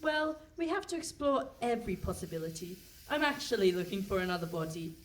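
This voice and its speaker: A woman's voice: medium pitch, big pitch swings, brisk, close to an everyday voice. A woman playing a cool, confident young private investigator with a dry manner, in a comic radio play on stage. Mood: matter-of-fact. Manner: dry, matter-of-fact. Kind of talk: comic radio play before a live audience. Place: hall stage with a live audience. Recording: distant stage pickup with some noise.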